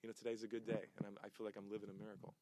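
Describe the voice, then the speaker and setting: A man's voice: on the low side, little pitch movement, very fast, warm tone, rough recording. A man speaking casually and earnestly in an interview, with an enthusiastic way of talking. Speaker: singer and charity event participant.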